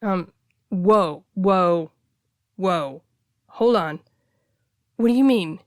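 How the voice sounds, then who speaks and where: A woman's voice: a little high, no room sound. Woman acting a blunt, casual friend, dry close recording. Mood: shocked, eager. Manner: conversational, hesitant, sweary, expressive. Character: casual, blunt heartbreaker friend secretly in love. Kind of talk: intimate one-sided audio roleplay, naturalistic dialogue.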